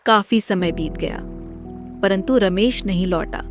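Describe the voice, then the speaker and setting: A woman's voice: mid-range voice, unhurried, over music. A woman narrating a scripted moral story as a storybook narrator.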